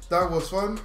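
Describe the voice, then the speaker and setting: A man playing a soccer game with a friend, teasing him, laughing and shouting at the screen. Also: high-pitched. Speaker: gaming channel co-host. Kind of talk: casual gameplay banter.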